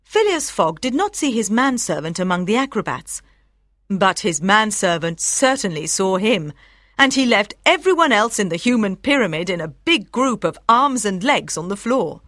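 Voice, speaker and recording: Lively, expressive woman storyteller. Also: mid-range voice, moderate pace, no room sound, studio quality. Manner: clear storytelling for language learners. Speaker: audiobook narrator. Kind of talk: scripted story narration.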